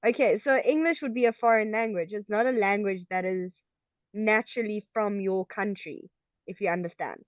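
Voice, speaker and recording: A woman's voice: mid-range voice, normal speed, no echo. Patient woman tutor, dry dull sound. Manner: patient, checking understanding with frequent okays. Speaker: English tutor. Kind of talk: online English lesson.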